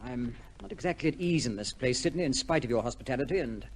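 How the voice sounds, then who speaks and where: A man's voice: medium pitch, lively intonation, quick pace, slight background noise, natural voice. Clipped, dutiful policeman played by a man. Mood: uneasy, weary. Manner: clipped, formal, plain-spoken. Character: weary, uneasy, dutiful male senior policeman. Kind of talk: old-time radio drama acting.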